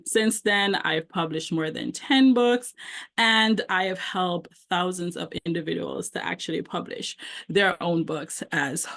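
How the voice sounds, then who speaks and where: A woman's voice: on the low side, fairly bright tone. A middle-aged woman with a Jamaican accent, a book coach giving a conversational presentation with frequent ums. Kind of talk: online presentation.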